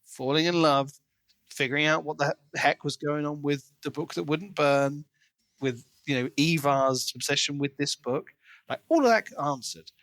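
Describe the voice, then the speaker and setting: A man's voice: a little high, normal speed, fairly bright tone, no echo. A man co-hosting a podcast, talking casually and analytically through a book as he thinks aloud. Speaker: podcast co-host.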